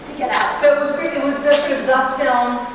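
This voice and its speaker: A woman's voice: high-pitched, level tone, brisk, background noise. Woman curator in an echoey gallery. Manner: chatty, many ums and restarts, walking and gesturing while talking. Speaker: museum curator. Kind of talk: gallery tour talk. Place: large gallery room, indoors. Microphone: handheld mic through a loudspeaker, recorded by a far camera. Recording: echoey gallery, speaker far off.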